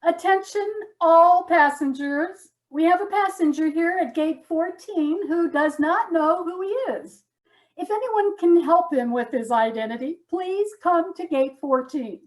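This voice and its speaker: Lady acting polite gate agent, high voice, slow, deadpan n unflappable, comedy sketch. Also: low-quality recording. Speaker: amateur stage actor.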